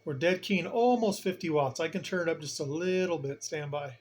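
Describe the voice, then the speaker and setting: Male hobby demonstrator, a little higher than average in pitch, informal, colloquial, emphatic explainer. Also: moderate pace. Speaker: CB radio hobbyist host giving a demonstration. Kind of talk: casual hands-on demonstration, explaining as he goes.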